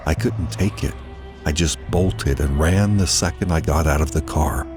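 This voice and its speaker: A man narrating a scripted horror story in a deliberate, dramatic delivery with weighted pauses. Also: extremely low pitch, over music.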